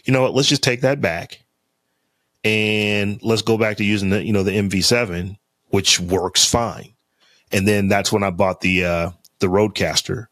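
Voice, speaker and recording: A man with a deep voice, co-hosting a podcast in loose casual chat full of fillers and restarts, on a close studio mic. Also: fairly bright tone, no echo. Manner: rambling, loose talk with many fillers and restarts. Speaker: podcast co-host. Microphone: close studio mic on a podcast interface.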